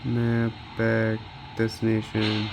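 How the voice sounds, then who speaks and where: A man's voice: on the low side, monotone, slow, background noise. A man giving casual gameplay commentary as a handheld gaming reviewer, informally thinking aloud as he tests. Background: video game music.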